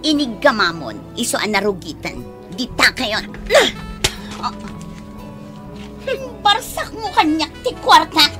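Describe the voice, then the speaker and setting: A woman's voice: high voice, sing-song, unhurried, pauses often, music in the background. An actress playing a haughty, condescending rich female boss in a radio drama. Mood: scornful, haughty. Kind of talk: Ilocano radio drama acting.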